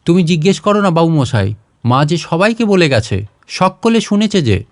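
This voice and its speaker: A man's voice: fairly high pitch, big pitch swings, moderate pace, darkish tone, no room sound, studio quality. A man voicing a grieving, timid village boy in a storybook reading, meek and pleading.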